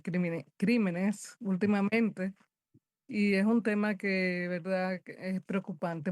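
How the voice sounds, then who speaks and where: A woman's voice: medium pitch, darkish tone, dry recording, clean studio sound. A woman hosting a TV talk show, chatty, playful and a little rambling. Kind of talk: casual chat on TV. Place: TV studio.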